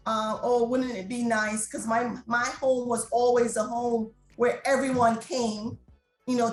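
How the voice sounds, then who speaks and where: A woman's voice: a little high, darkish tone, echoey room. A middle-aged woman from Jamaica, a restaurant owner, talking in an interview in a chatty way and laughing often. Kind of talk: interview conversation.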